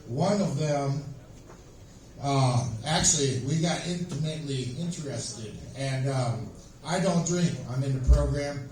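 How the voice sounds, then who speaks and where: A man doing an amateur stand-up set on stage, telling jokes in a halting, rambling way. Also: a little high, darkish tone. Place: small live comedy venue, stage. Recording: echoey room, distant microphone.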